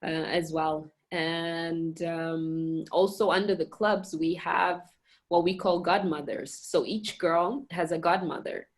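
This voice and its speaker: Woman from Mozambique, lower register, halting interview answers full of fillers. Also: steady tone. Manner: hesitant, many fillers and restarts. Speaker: communications director and girls education program manager at a national park.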